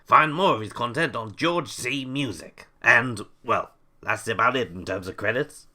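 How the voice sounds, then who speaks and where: An actor playing an eccentric, breezily callous, self-important scientist, musing to himself as presenter in an audio drama monologue. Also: male voice, mid-range voice, moderate pace, pushed and bright. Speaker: in-story podcast presenter.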